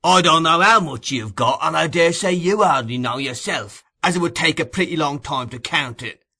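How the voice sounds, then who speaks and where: A man voicing a brutal, surly burglar who talks in a loud growl. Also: mid-range voice, animated, moderate pace. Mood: demanding. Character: brutal, surly male burglar, sick and bitter.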